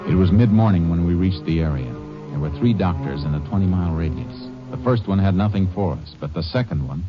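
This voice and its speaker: A very deep-voiced, laconic American lawman, clipped and sure of himself, in 1950s radio drama style. The sound is a dull vintage broadcast with music behind it. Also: animated, brisk. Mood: terse narrating. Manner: clipped, matter-of-fact. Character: laconic, methodical male Texas Ranger investigator, calm and sure. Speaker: lead actor and narrator.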